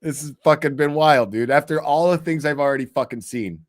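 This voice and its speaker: A man's voice: fairly high pitch, a little fast. A man hosting a live show, commenting casually on a court hearing, laughing often, swearing freely and mimicking people.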